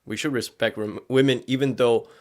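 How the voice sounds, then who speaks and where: A man's voice: medium pitch, fairly even intonation, brisk. A man hosting a podcast, giving casual, opinionated commentary in slangy language full of profanity. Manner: slangy, profanity-laden speech. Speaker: podcast host.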